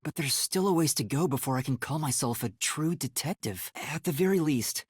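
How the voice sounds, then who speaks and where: Young male trainee detective for an anime video game: sincere, easily flustered, highly expressive intonation, soft husky breathiness, close dry sound. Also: dry recording. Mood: earnest, determined. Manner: earnest, hesitant, prone to startled yelps.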